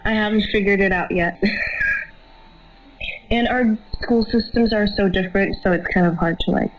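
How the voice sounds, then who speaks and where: Female interviewee, 19, conversational, mid-range pitch, slightly dull lo-fi recording. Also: normal speed. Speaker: interview guest. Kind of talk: casual chat. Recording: muffled, lo-fi audio.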